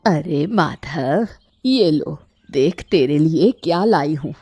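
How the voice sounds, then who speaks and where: A woman's voice: sits low, animated, slow pace, mellow, husky, no room sound, faint music behind, heavily acted. A woman playing a sly, thieving old woman in a cartoon story, with a wheedling, complaining manner. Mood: pleased. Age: older.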